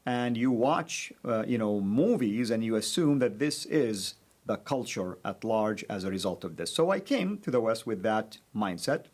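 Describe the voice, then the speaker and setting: Low-voiced man with measured, hesitant delivery. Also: darkish tone. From Saudi Arabia. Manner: measured, frequent pauses and fillers. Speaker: guest. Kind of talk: personal testimony in conversation.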